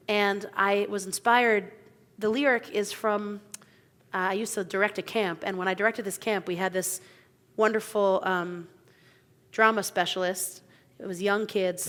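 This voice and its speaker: A woman rabbi speaking informally from the pulpit, her bright voice carried with a long reverb through a sanctuary. She talks conversationally, pausing often with fillers. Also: medium pitch. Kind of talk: informal sermon, spoken. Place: synagogue sanctuary.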